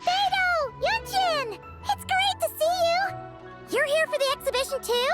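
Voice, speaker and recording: A woman voicing a tiny, excitable, cheeky childlike fairy companion in an animated game. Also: very high voice, unhurried, over loud music. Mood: excited. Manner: chirpy, cheeky, refers to herself in third person.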